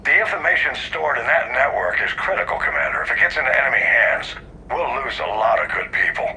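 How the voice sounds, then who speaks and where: A man's voice: medium pitch, big pitch swings, fairly quick, sharp tone, slight background noise, full character voice, low-quality recording. A man playing a stern, grave admiral in a video game, giving clipped, authoritative briefings. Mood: grave, urgent. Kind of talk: dramatic video game acting.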